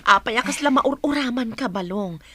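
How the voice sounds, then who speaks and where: An actress playing a friendly, lively village godmother in a radio drama. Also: fairly high pitch, fairly quick, breathy edge, dry recording. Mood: teasing concern. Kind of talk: Ilocano radio drama acting.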